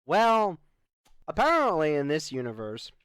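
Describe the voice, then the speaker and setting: A man's voice: a little high, huge pitch swings, unhurried, fairly bright tone, clear, resonant tone, no echo, clean studio sound, exaggerated voice. A man playing a wry spaceship adventurer in loose, improvised sci-fi roleplay, joking and stretching out his lead-ins. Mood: wry, explaining.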